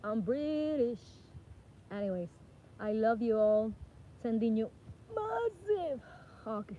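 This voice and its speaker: A woman's voice: a little high, lively intonation, slow pace, warm tone. A singer-songwriter woman originally from the Dominican Republic, chatting casually to camera and rambling with self-corrections. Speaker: singer-songwriter and music producer. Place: outdoors with flies around. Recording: dull lo-fi sound with faint background noise.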